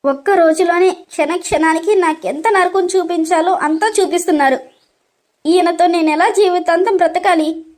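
A wounded young daughter-in-law from a storytelling drama, acted out by a woman. Her voice is very high and pleading. She sounds timid, yet indignant at how she is treated. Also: fairly even intonation, normal speed, darkish tone. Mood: anguished. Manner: pleading, tearful questioning.

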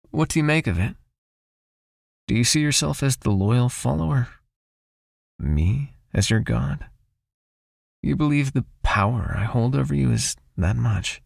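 A man playing a seductive, possessive vampire hypnotist in an intimate ASMR roleplay, soothing and teasing as he gently commands. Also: medium pitch, gravelly, dry recording, clean studio sound.

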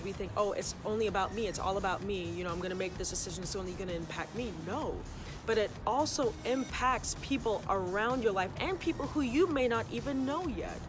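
A young woman from Trinidad and Tobago, raised in the United States, talking casually to camera outdoors about her faith, gesturing as she speaks. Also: mid-range voice. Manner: animated, talks with her hands, says y'all. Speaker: vlogger. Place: outdoors on an open concrete rooftop. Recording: music playing clearly behind.

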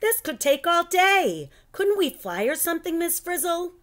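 Woman as boastful schoolboy, storybook character, raised pitch, swooping delivery, eager. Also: big pitch swings, moderate pace. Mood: worried, eager.

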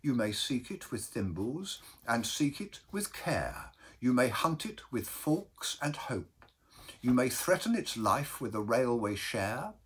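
A man voicing a kindly, solemn uncle in a storybook verse, earnest and deliberate as he advises his nephew. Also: mid-range voice, sing-song, slightly rough, pitched above the performer's own voice, heavily acted. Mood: advising.

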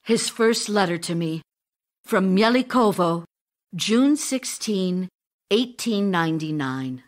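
A woman's voice: mid-range voice, expressive, slow pace. A woman playing an ardent, sentimental stage actress in an audio drama, earnest and wistful as she recalls her romance. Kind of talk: theatrical audio drama, narrated memories and love letters.

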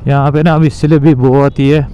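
A man's voice: mid-range voice, level tone, a little fast, warm tone, highs cut off. A young man vlogging casually while riding a motorbike through city traffic, talking loosely and repetitively to his viewers. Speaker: moto vlogger.

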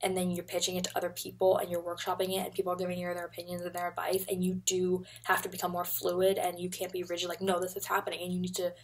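A woman's voice: on the low side, monotone, fast, few pauses, husky. A young woman in her twenties chatting to a webcam in her bedroom, rambling and gesturing as she talks. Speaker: vlogger. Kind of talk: casual chat to camera. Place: bedroom, indoors. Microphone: webcam at desk.

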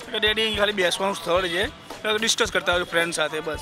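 Fast-talking opinionated man. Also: sits high. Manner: opinionated, explains at length. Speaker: interviewee, a father. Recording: music playing underneath.

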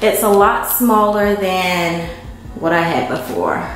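A woman vlogger giving a casual, unhurried walkthrough of an empty apartment, filmed on a handheld camera. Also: on the low side, lively intonation, low-quality recording. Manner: unhurried, chatty, many ums. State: hot and worn out from moving.

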